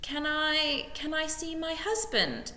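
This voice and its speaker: A woman's voice: high voice, expressive, slow pace, bright, forceful tone, pitched above the performer's own voice, exaggerated voice. A woman voicing a grieving widow in a storybook reading, sobbing, faltering and pleading. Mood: weeping. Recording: echoey room with long reverb.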